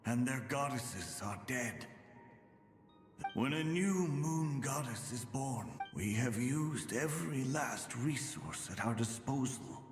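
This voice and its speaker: A man's voice: medium pitch, lively intonation. A man voicing a weary, solemn ancient devotee in a video game, grave and bleak in manner. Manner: grave, deliberate. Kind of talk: video game character acting. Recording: echoey reverberant hall, game music behind.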